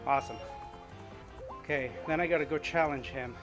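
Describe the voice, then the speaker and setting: Upbeat middle-aged male streamer, casual play-along chat, slightly high voice, soft music beneath. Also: moderate pace. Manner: chatty and upbeat, thinking out loud. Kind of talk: casual gaming commentary while cycling. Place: home room with indoor bike.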